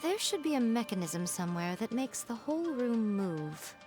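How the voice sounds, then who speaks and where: A sly, higher-pitched teasing shrine maiden. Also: female voice, sing-song, music in the background. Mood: composed. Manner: teasing, poised, unhurried explaining. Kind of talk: video game character acting.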